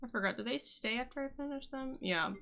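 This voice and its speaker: A woman's voice: sits high, a little fast, bright, forceful tone, slight background noise. A woman chatting casually and informally as a game streamer during a live stream.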